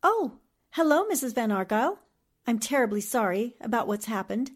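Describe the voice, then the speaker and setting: A woman's voice: a little high, very animated, moderate pace. A woman playing a clever, helpful newspaperwoman sleuth in 1940s radio style, polite and composed. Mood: courteous, eager. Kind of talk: 1940s radio drama acting.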